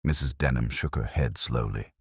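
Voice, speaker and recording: A man narrating a scripted story in a measured, literary, dramatic manner. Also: very deep voice, slightly rough, no room sound, studio quality.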